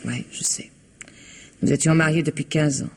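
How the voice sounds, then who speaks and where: A woman's voice: low voice, flat delivery, normal speed. An actress playing a reticent, proud woman whose husband has vanished, guarded and emotional under questioning in an old radio drama. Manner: guarded, emotional, sometimes dropping to a hush. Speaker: wife of a missing man. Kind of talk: 1960s French radio drama acting.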